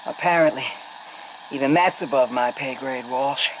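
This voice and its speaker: A woman's voice: a bass voice, normal speed. An actress playing a tough, irritable woman in charge, curt and demanding, in a scripted game scene. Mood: irritated, driven. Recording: muffled, noisy audio log.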